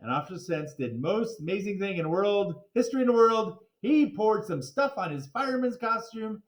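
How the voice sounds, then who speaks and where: A man with a high voice reads a children's story aloud. His delivery swings widely in pitch, playful and dramatic, and he drops in chatty asides like someone reading to a class of kids. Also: animated, moderate pace, roomy sound.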